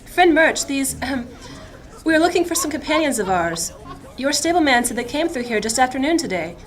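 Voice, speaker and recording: Woman playing a proud, high-voiced noblewoman mage. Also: very animated. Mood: formal, curt. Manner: formal, measured, imperious. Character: proud, commanding noblewoman mage, strict mentor. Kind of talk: fantasy audio drama acting.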